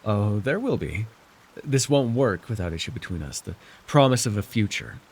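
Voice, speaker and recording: A scheming dark sorcerer ruler, acted by a man, speaks in a low and very animated voice over noticeable background noise. He is smug, coercive and possessive, talking down to the listener with theatrical pauses and sighs in an immersive fantasy roleplay. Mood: smug, grandiose.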